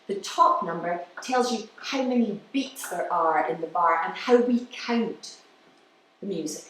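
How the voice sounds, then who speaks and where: A woman giving a live explanatory talk as a musician, with halting pauses. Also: mid-range voice, animated, fairly bright tone. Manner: explanatory, halting pauses, counts beats aloud. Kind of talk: live lecture with demonstrations. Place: indoor room with an audience. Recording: distant mic, very echoey room, faint noise.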